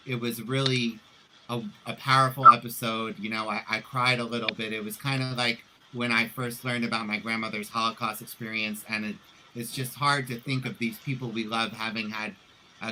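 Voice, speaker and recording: A man's voice: medium pitch, bright, forceful tone, a little hiss or hum, low-quality recording. A man who hosts an online show, chatting casually on a livestream. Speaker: actor and online show host.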